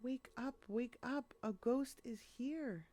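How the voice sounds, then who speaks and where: A woman's voice: on the high side, slow pace, mellow, pitched above the performer's own voice. A woman voicing frightened construction trucks from a children's storybook, exclaiming in alarm. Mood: panicked.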